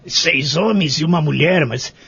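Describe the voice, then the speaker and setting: A man acting a lonely, remorseful old man in a care home in a radio drama, with a gravelly, husky voice and a Brazilian accent. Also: fairly high pitch, a little slow, a bit bright. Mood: hesitant, reserved. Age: older. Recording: muffled, lo-fi audio drama recording.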